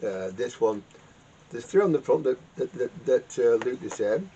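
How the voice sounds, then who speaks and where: A man talks through a hands-on repair in a halting, rambling manner, repeating himself as he goes. The recording is dull and lo-fi, with noise behind him. His pitch is an ordinary middle one for a man. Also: lively intonation, mellow. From United Kingdom. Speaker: vintage radio repairer and video host. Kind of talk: casual unscripted workshop demonstration. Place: home garage workshop. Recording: dull lo-fi sound with clear background noise.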